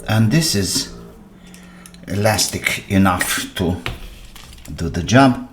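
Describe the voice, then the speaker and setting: A man who speaks English as a second language, giving casual hands-on repair commentary as a channel host, haltingly thinking aloud while he works. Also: on the low side, expressive, over music. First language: non-native English speaker.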